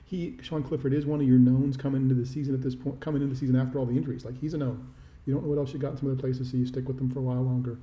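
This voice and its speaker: A man's voice: medium pitch, little pitch movement, rapid-fire, few pauses, dark tone. A man co-hosting a sports podcast, giving casual commentary in an echoey, roomy recording. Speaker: podcast co-host.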